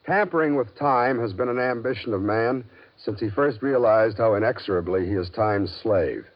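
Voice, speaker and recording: A man hosts an old radio suspense play with a solemn scripted introduction. His voice is fairly low and his phrasing literary, on a slightly dull 1950s recording. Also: normal speed.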